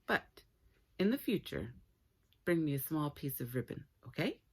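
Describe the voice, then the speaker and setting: A woman's voice: sits low, big pitch swings, normal speed, husky, exaggerated voice. A woman voicing a small, dainty female dog from a storybook, emphatic, bossy and demanding. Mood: touched, bossy.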